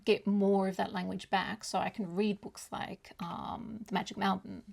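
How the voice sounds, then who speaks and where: A woman's voice: medium pitch, level tone, moderate pace, vocal fry, darkish tone. A woman whose first language is German, chatting casually to viewers as a book vlogger in a rambling, hesitant way that often loses its thread.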